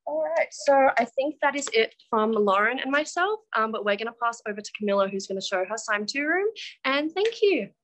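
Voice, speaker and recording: A woman's voice: on the high side, expressive, fairly quick, a bit bright, rough recording. A young Australian woman giving a casual guided walk-through as a student tour guide. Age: young adult.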